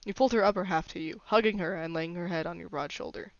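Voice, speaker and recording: Woman reading fanfic out loud, gravelly fry in her voice, no room echo, bit lo-fi. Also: fairly low pitch, quick pace. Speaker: fan fiction reader. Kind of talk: scripted story narration.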